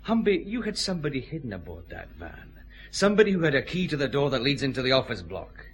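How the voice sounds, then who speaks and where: Scottish detective boss in a radio play, pushy and dry, very animated voice. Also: male voice, medium pitch, a little hiss or hum. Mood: forceful, confronting. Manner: brisk, pressing, dry humour. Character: Scottish detective chief inspector, brisk, confident, dryly needling. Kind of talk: radio detective drama acting.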